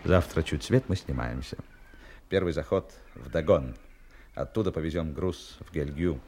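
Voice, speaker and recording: An actor playing a courteous, calculating, gruff sea captain in an audio drama, speaking formally with weighty, deliberate pauses. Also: male voice, very deep voice, warm tone, a little hiss or hum. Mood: courteous, measured.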